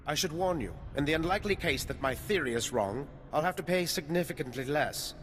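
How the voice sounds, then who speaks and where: A man's voice: on the high side, expressive, brisk, fairly bright tone. A man playing a self-important, fame-hungry scientist in a video game, with a formal, verbose, pompous manner. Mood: pompous, eager. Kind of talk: video game character dialogue. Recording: reverberant hall with background noise.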